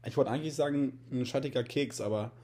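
A man's voice: medium pitch, fast, warm tone. A young man in his twenties with a slight cold, hosting a podcast in a joking, rambling way and often repeating words. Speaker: podcast host. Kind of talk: casual chat.

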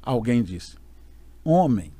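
A Brazilian man, a gospel singer guest, telling an animated story and acting out the voices of others. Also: medium pitch, takes it slowly, husky, no room sound. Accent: Brazilian Portuguese. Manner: expressive, acts out quoted voices.

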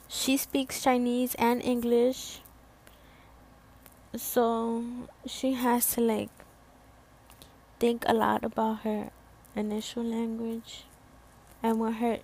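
Slow-spoken young woman, rambling. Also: fairly high pitch. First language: Spanish. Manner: rambling, many fillers and restarts. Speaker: student podcast host. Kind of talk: casual solo podcast talk.

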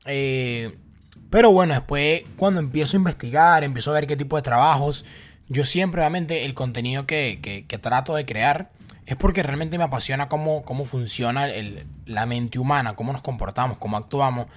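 Man, twenties, Venezuelan accent, casual vlog storytelling, lots of fillers, mid-pitched voice. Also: normal speed. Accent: Venezuelan Spanish. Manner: casual and talkative, with many fillers and repeated words. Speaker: vlogger. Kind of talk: personal story told to camera.

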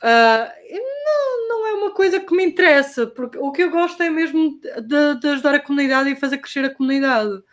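A woman's voice: high-pitched, very animated, a little fast, hardly any pauses. A young adult woman from Portugal with a Portuguese accent, chatting casually and hesitantly as a guest, with slang and English terms mixed in. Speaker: guest skater. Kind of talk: casual chat.

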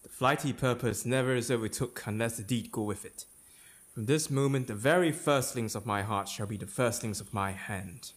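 A man's voice: mid-range voice, moderate pace, natural voice. A man acting a Shakespearean king, ambitious, fearful and vengeful, in a theatrical, declamatory dramatic reading. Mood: grimly resolved. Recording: loud ominous music behind the voice. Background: ominous music.

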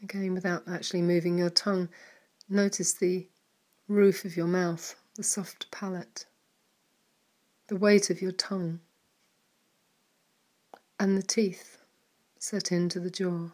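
A woman leading a guided mindfulness meditation in a soft, calm manner, with some words trailing into a whisper. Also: on the low side, slow, long pauses.

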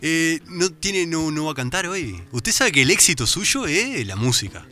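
A man's voice: fairly high pitch, big pitch swings, normal speed, bright, forceful tone, soft background music. A male radio host in a comedy chat, teasing a guest with formal address and laughing often.

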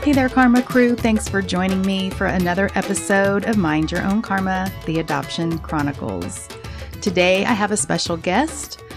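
A woman's voice: mid-range voice, moderate pace, music in the background. A woman hosting her podcast, reading a friendly scripted introduction and then asking interview questions. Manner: friendly, scripted introductions, brief encouraging replies. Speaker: podcast host.

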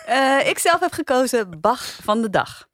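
A woman's voice: high voice, big pitch swings, a little slow, fairly bright tone, dry recording, clean studio sound. A woman with a Netherlandic Dutch accent, hosting a podcast in casual conversation with a panel. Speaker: podcast host.

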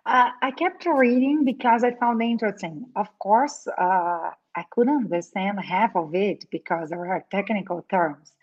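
A woman's voice: mid-range voice, normal speed, hardly any pauses. A woman from Brazil, a native Portuguese speaker with a Brazilian accent, chatting casually in English as a guest and laughing often. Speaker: guest, lawyer. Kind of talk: casual conversation. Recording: dull lo-fi sound.

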